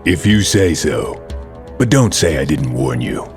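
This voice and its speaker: A man playing a gruff, laconic veteran soldier. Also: very deep voice, very animated, unhurried, a little mellow, faint music behind, heavily acted. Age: middle-aged. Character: gruff, tough veteran male mutant soldier.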